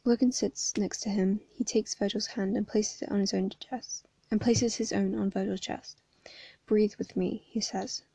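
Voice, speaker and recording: A young woman, hoarse from hay fever, reading a story aloud and chatting casually between chapters. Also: medium pitch, steady tone, moderate pace, hardly any pauses, mellow, dry recording. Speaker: fan fiction reader.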